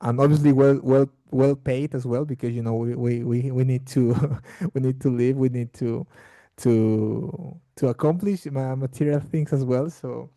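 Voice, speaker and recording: Halting, very dark-voiced man. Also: medium pitch, steady tone, dry recording. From Bolivia. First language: Spanish. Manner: halting, repeats words while searching for them. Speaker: language exchange participant. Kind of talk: casual conversation practice.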